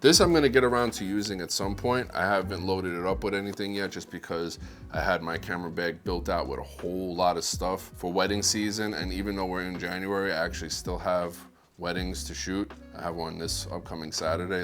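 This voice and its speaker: A man with a deep, bassy voice giving a relaxed, enthusiastic rundown of his camera gear, recorded on a wireless clip-on mic. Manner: relaxed, enthusiastic product talk. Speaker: videographer vlogger.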